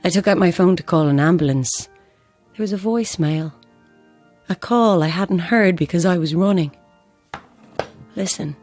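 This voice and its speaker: Irish mum played by an actress, lowish voice, haunted measured storytelling, audio drama with music under it. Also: female voice, darkish tone, natural voice. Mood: haunted, grave. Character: grieving mother recounting her daughter's haunting death.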